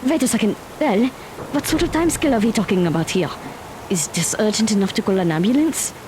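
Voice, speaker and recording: A woman's voice: mid-range voice, animated, fast talker, lightly acted. A woman playing a firm, protective teenage girl in an audio drama with noisy ambience. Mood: urgent.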